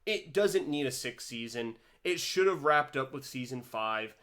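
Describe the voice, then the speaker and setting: A man giving a casual commentary vlog, rambling with many restarts and swinging his pitch and loudness animatedly. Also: lively intonation, measured pace. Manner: rambling, with frequent restarts and stumbles. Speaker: vlogger reviewing a TV series.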